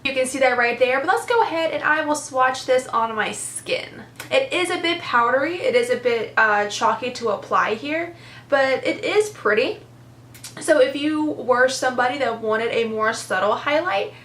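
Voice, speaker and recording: A young woman with a congested voice chats eagerly through a makeup review, piling on "super" and "very." Her voice sits a little high. The room has a noticeable echo. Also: fairly bright tone. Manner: chatty, enthusiastic, lots of "super" and "very". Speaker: beauty vlogger. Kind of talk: casual product review chat.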